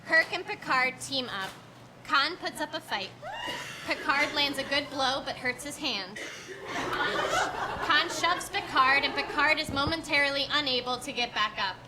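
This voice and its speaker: A woman with a high voice reads stage directions as scripted narration. The recording is a live staged reading, with clear noise behind her. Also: expressive, unhurried.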